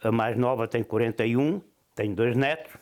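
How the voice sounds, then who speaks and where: A man's voice: medium pitch, moderate pace, darkish tone. A man in his sixties from Portugal with a European Portuguese accent, hesitantly recounting his life in an interview. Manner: hesitant, with many fillers. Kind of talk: personal account in an interview.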